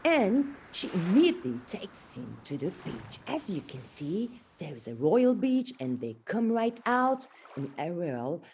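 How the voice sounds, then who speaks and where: A woman's voice: on the low side, big pitch swings, measured pace, few pauses, darkish tone, slight background noise. A woman storyteller narrating fairy tales for children, dramatically, with rhetorical questions. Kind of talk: expressive fairy-tale narration.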